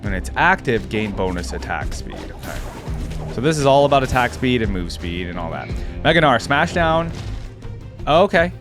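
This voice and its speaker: A man's voice: mid-range voice, very animated, moderate pace, over music. A man giving casual reaction commentary on a video game, conversational and thinking aloud as he reads and adds asides. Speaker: YouTube reaction host.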